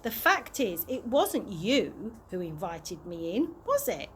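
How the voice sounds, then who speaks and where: A woman's voice: mid-range voice, big pitch swings, takes it slowly, a bit bright, slight background noise. A woman reading a storybook and voicing a frank, kindly homeless man, earnest and plain-spoken. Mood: confronting.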